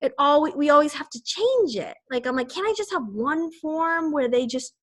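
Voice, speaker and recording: A woman's voice: high voice, lively intonation, normal speed, a bit bright. A woman with a Boston accent leading a casual team call, chatty and rambling, laughing often. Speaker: fitness team leader.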